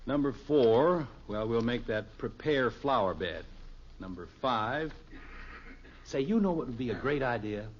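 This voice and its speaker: A man's voice: medium pitch, lively intonation, unhurried, slight background noise, close to an everyday voice. An actor playing a cheerful, self-assured middle-aged American father in a 1950s radio sitcom, lecturing in a folksy way. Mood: busily pleased. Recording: muffled old radio recording.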